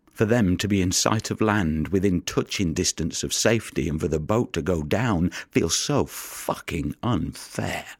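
An actor playing a weary, haunted fisherman in an audio drama monologue, husky, brooding and halting in a confiding way. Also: low voice, darkish tone, dry recording, clean studio sound, exaggerated voice. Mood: bitter, anguished. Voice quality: gravelly, husky. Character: weary, haunted male fisherman who recovers the drowned.

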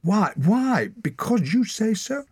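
A cornered, defiant boy, pitched high and loud, pressing his case in breathless bursts, as a male narrator puts him on. The voice is husky and dry, close to the mic. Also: sing-song, takes it slowly, no room sound, full character voice. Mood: desperate. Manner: pleading, insistent, breathless under threat. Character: wild young boy of the forest, defiant and desperate.

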